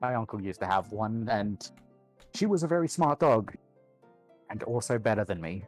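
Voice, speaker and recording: A man's voice: lowish voice, big pitch swings, normal speed, soft background music. A man with an Australian accent, chatting casually as a guest on a group livestream.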